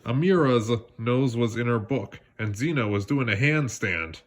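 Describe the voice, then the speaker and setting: A man reads a children's story aloud with very animated pitch. He narrates expressively and now and then trips over a word and corrects himself. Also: measured pace. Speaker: storybook reader.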